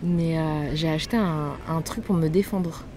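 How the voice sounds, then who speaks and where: Soft-spoken young woman, lowish voice. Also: moderate pace, a little hiss or hum. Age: 20s. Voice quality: soft, low-volume voice. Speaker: guest in a chat video. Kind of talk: soft-spoken casual chat.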